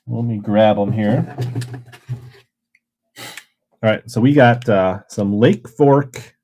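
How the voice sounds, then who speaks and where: Casual male auction host, slightly low voice, loose and chatty. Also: a little mellow. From Minnesota. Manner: rambling, chatty, reads chat aloud. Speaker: live auction seller. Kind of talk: casual livestream selling.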